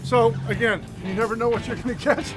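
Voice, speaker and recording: A man acting as a fishing guide on a boat, chatty and energetic, explaining tips step by step. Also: very high-pitched, brisk, music in the background. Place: on a boat offshore, outdoors.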